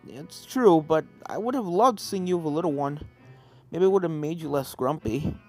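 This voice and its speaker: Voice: medium pitch, normal speed, darkish tone, close to an everyday voice. A reader as a teasing noble unicorn stallion. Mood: friendly, teasing. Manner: chatty, teasing. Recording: game music under the voice.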